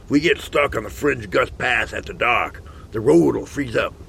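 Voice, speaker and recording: Gravelly, gruff old hunter. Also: male voice, mid-range voice, normal speed, a bit bright, slight background noise. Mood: ominous, resolute. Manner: gruff, folksy, self-assured. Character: gruff, boastful older hunter and former whaling harpooner, male. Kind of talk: western audio drama acting.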